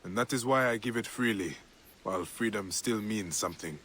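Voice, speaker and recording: An actor playing a steadfast, compassionate protector from ancient times, in a dramatic video game performance. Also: male voice, on the low side, fairly quick, fairly bright tone. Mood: earnest.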